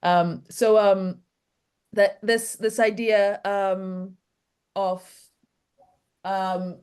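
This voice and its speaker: A woman's voice: mid-range voice, flat delivery, drawn-out words, darkish tone, clean tone. A woman academic with a Jamaican accent, in an academic discussion, hesitantly searching for words and repeating phrases. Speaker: academic discussant asking questions.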